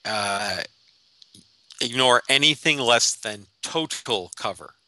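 A man's voice: mid-range voice, expressive, slow pace, bright, forceful tone, no room sound, poor audio. A man playing a tabletop game over a video call, hesitant as he answers. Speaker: player. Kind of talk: casual tabletop game chat.

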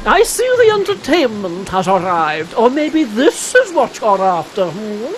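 An actress playing a haughty, cruel and decadent noble in a video game, pompous and menacing. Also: female voice, medium pitch, big pitch swings, a little slow, over music, rough recording. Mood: smug, threatening. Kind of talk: video game cutscene acting.

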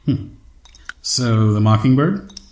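An actor playing an earnest, resolute man of action and diplomacy in an audio drama, measured and dryly humorous. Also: medium pitch, animated, a little mellow. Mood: dry, determined. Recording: echoey space with faint noise.

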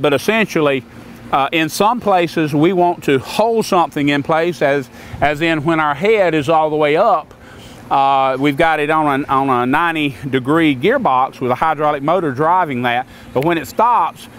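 Older man, slightly high voice, folksy and plain-spoken, outdoors, faint background hum. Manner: plain-spoken, folksy, repeats words when restarting. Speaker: sawmill maker explaining equipment. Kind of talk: casual outdoor equipment demonstration. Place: outdoors on a grassy lot beside a sawmill.

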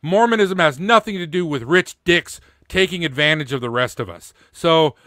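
Bright-voiced middle-aged man, blunt and indignant. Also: a little high, expressive, moderate pace, dry recording, studio quality. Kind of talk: opinionated unscripted commentary. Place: small indoor home studio. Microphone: close studio microphone with pop filter, headphones.

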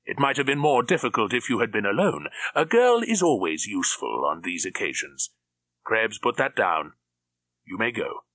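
A man's voice: lowish voice, very animated, normal speed, fairly bright tone, no echo. A man voicing a cold, menacing middle-aged villain with a clipped, commanding manner. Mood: coldly gloating. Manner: clipped, commanding, coldly mocking.

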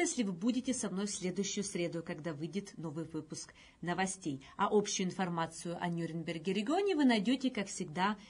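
Low-pitched, husky woman reading the news. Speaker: news presenter. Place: indoor video news studio.

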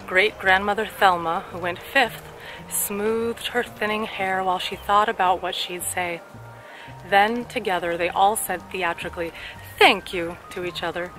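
A woman's voice: medium pitch, a bit bright. Woman reading a story aloud over music. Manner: deliberate, carefully articulated storytelling delivery. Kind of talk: scripted story read-aloud for speech practice. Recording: clear background music under the voice.

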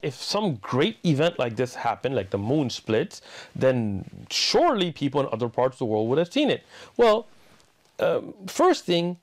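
Man, middle-aged, very lively intonation, creaky edge, emphatic, rhetorical questions, explanatory talk. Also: on the high side. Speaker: Islamic speaker. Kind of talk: explanatory religious argument to camera. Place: indoors, room lined with bookshelves.

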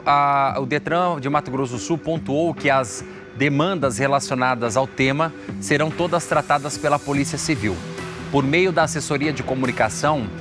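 A young man with a Brazilian accent presenting live TV news in a conversational commentary style from a studio on a lapel mic. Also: medium pitch. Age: young adult. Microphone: lapel microphone. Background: music bed.

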